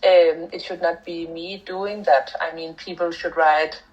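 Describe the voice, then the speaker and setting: A middle-aged woman with a Danish accent talks about her work in a reflective way, often pausing on fillers and restarting her sentences. Her voice sits fairly low. The recording is a little dull and lo-fi. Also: steady tone, darkish tone. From Denmark. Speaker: author and anthropologist. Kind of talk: thoughtful interview answers.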